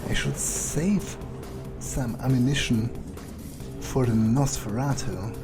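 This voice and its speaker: Wary male vampire played by a male gamer, profane, halting and unhurried, medium pitch, game music behind. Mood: wary, musing. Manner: muttering, halting, profane asides. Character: foul-mouthed, wary male vampire exploring sewers. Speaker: gamer in an in-character playthrough. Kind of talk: improvised in-character game roleplay, thinking aloud.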